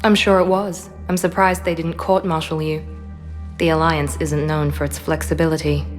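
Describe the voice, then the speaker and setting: An actress playing a poised, guarded former operative in a video game, speaking in a controlled, understated way with quiet vulnerability. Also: female voice, sits low, little pitch movement, moderate pace, in a large hall, faint music behind, close to an everyday voice. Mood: concerned, composed. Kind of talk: dramatic video game acting.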